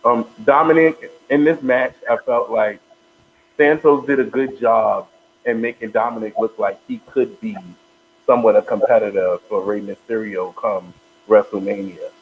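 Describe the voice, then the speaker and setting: A man co-hosting a casual wrestling podcast, giving measured analysis. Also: on the low side, fairly even intonation. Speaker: podcast co-host. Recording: tinny phone-like line with faint noise.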